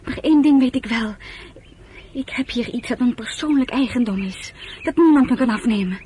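A sensitive, loyal young girl acted by a woman in a radio play. Her voice is fairly high and halting, on an old recording with faint noise. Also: lightly acted. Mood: hurt, hesitant. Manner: hesitant, soft-hearted, halting. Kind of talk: radio drama acting.

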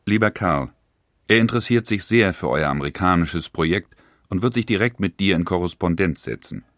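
An actor reading the letters of a cautious older industrialist brother, measured and worried. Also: male voice, sits low, fairly bright tone, dry recording, clean studio sound. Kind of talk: reading historical letters in a radio feature.

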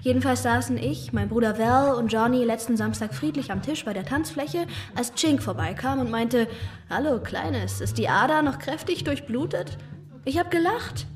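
A woman's voice: a little high, moderate pace, soft background music, natural voice. A woman playing a frightened young wife. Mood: defensive, worried. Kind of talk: radio drama acting.

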